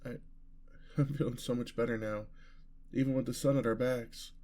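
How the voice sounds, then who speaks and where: A man's voice: medium pitch, steady tone, moderate pace, darkish tone, lightly acted. A man playing a devoted, weary vampire lover in an ASMR fantasy roleplay, speaking tenderly and earnestly in formal words. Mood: calm, fond.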